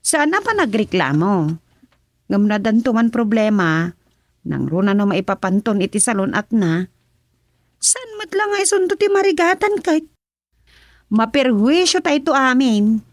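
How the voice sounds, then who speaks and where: A woman as a fretful wife in a radio drama, her voice high and questioning. She sounds anxious about her family. The recording is dry and close. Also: big pitch swings, measured pace, long pauses, no room sound. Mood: worried. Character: worried wife named Rosa, fretting over family.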